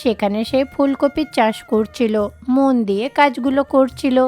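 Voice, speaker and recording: A woman's voice: high-pitched, normal speed, darkish tone, soft background music. A woman reading scripted narration for a children's cartoon story.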